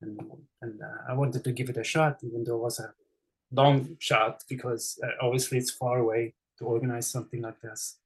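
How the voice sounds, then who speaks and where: A middle-aged man with a Swiss accent talks easily about his running life as a podcast guest. His pitch sits in the usual middle range, and he speaks in a friendly way, often pausing on fillers and restarting his sentences. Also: level tone, slightly rough, rough recording. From Switzerland. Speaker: race director guest. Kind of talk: casual interview chat.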